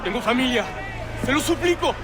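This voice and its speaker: An actor playing a frightened Spanish sailor begging for mercy in a video game. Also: male voice, extremely high pitch, animated, fast, very noisy. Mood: terrified, begging. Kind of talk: video game cutscene acting. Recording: loud crowd and music.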